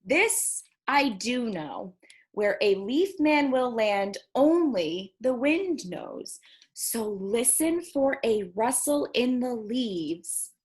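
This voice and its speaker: A woman librarian reading picture books aloud to children, expressive and encouraging, often putting questions to her young listeners. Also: fairly high pitch, slow pace.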